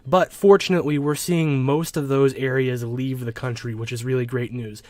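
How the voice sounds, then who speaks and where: An American man hosts an informal weather forecast in a mid-range voice. He explains as he goes, haltingly, sometimes repeating a word before carrying on. The sound is close and dry, with very little room around it. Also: medium pitch, steady tone, a little mellow, no echo. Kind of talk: informal weather map commentary.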